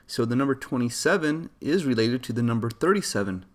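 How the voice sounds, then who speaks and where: A man's voice: mid-range voice, expressive, a little fast. A man giving scripted explanatory narration in an earnest, didactic manner. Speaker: video narrator.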